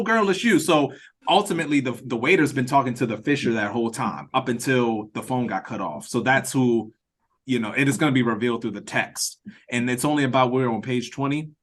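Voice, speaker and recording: A man leading a script read-through on a video call, talking casually and haltingly as he thinks aloud and restarts his sentences. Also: medium pitch, fairly bright tone. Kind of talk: casual creative feedback discussion.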